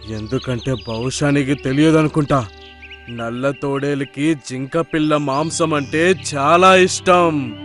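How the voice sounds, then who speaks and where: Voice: mid-range voice, natural voice. A man voicing a greedy, cruel crocodile villain in a cartoon, gloating and threatening. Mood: menacing glee. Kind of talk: cartoon character voice acting.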